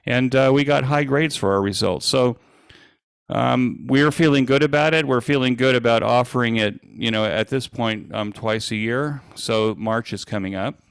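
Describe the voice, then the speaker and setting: A man giving an informal presentation as an event organizer, speaking in a halting, hesitant way with frequent pauses. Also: mid-range voice, vocal fry, smooth, dry recording.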